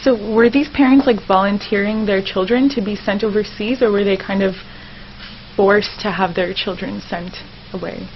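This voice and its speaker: A woman's voice: mid-range voice, fairly even intonation, moderate pace. A young adult woman co-hosting a radio show, asking questions in an informal conversational way. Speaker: radio co-host, interviewer. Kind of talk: casual interview questions. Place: campus radio station. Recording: slightly muffled, faintly noisy radio audio.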